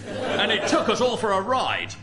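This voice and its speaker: An actor playing an exasperated, exclamatory friend in a radio sitcom. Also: male voice, high voice, wildly swooping pitch, normal speed, over a noisy crowd, heavy background noise. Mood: indignant.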